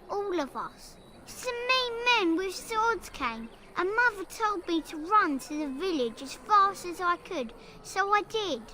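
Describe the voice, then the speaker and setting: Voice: extremely high pitch, noisy background, full character voice. A performer voicing a lost, trusting little farm child in a video game, plaintive, innocent and hesitant. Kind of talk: video game character dialogue.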